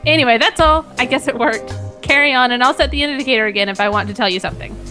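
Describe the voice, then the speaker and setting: A woman's voice: high-pitched, rapid-fire, close to an everyday voice. A woman voicing an eager, apologetic female computer program in a visual novel, chatty and a little hesitant. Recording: music playing clearly behind.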